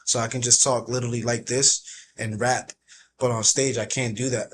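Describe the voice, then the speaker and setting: A young man talking casually as a podcast guest, with a soft voice and a relaxed, rambling way of speaking full of fillers. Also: medium pitch, moderate pace, a little hiss or hum.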